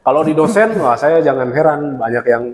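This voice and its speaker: Chatty lecturer in a roomy hall. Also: male voice, on the high side, fairly quick, very noisy. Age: 30s. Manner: chatty, laughs often while explaining. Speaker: university lecturer. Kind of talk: relaxed interview talk.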